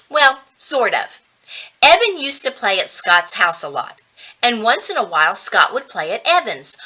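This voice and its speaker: Bright, animated woman reading a storybook. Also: fairly high pitch. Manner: dramatic pauses, drawn-out emphasis on key words. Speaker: reading coach reading a children's book aloud. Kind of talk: expressive storybook read-aloud narration.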